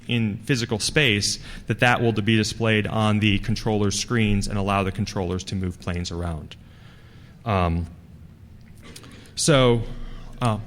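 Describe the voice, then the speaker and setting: A man giving an informal technical talk as a conference speaker, with a halting, rambling, conversational delivery. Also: lowish voice, fairly bright tone, a little hiss or hum. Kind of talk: informal technical presentation to an audience. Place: large conference hall, speaking to a live audience. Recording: reverberant hall sound.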